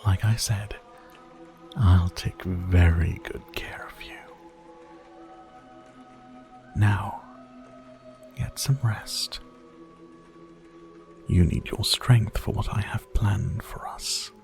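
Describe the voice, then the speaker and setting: A man playing a sadistic, seductive vampire captor in an intimate ASMR roleplay, breathy and often whispering, commanding yet mock-tender. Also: low-pitched, fairly even intonation, takes it slowly, pauses often, a little mellow, soft background music, exaggerated voice. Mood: possessive, soothing.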